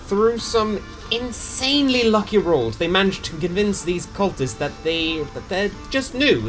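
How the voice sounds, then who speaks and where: A man's voice: a little high, low-quality recording. A man narrating a tabletop game story recap in a casual, dramatic storytelling way with some slang. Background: music.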